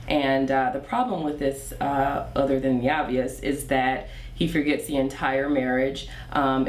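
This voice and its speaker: A woman with a very low voice presents a screenplay, halting with frequent uhs. The recording is echoey and a little distant. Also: a little mellow. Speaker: screenwriter presenting their script. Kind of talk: spoken introduction of a script and scene. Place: theater stage. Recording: echoey theater, distant mic, faint noise.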